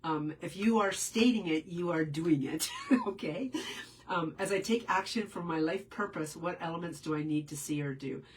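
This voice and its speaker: Woman w a head cold, deep voice, kinda rambly, lots of ums, mic sits a bit far off. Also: moderate pace. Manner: meandering, many fillers and restarts. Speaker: spiritual teacher answering viewer questions. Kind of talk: casual live Q&A.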